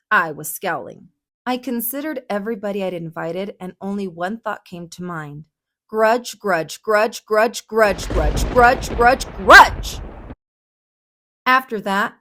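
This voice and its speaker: A woman reading a picture book aloud for children in a dramatic, expressive storytelling manner. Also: mid-range voice.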